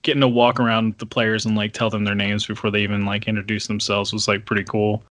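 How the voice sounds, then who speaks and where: A man's voice: on the low side, little pitch movement, quick pace, fairly bright tone, no room sound, clean studio sound. A man chatting casually on a podcast, telling a laid-back story and laughing often.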